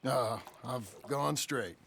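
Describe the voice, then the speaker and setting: An actor playing a rough but humble frontier gunman turned farmer in a western game, with a raspy American voice and halting, folksy speech. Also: mid-range voice, a bit bright, a little hiss or hum. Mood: friendly, wry. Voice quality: raspy, gravelly. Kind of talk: cinematic western game acting.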